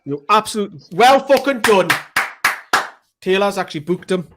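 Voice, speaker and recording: A man co-hosting a live fan stream, calling the match and yelling at the screen, swearing freely. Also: high voice, animated, moderate pace, noisy background. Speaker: fan channel co-host. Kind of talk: excitable live match commentary.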